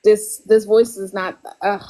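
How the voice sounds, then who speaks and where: A woman guest author chatting casually on a podcast. She talks loosely, dropping fillers and laughing often. Her voice sits at an ordinary middle pitch. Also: little pitch movement, measured pace, warm tone. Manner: chatty, laughs often, lots of "like" and "you know".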